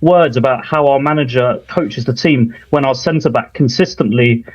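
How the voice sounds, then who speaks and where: A man from Thailand, a football fan guest, talking frankly and laughing easily in a lively chat. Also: mid-range voice, normal speed, dull audio. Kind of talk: animated call-in chat.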